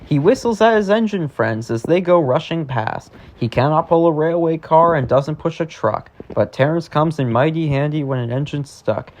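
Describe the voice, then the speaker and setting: A man reading a rhyming children's storybook aloud in an expressive, sing-song way. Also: fairly high pitch. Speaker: storybook reader.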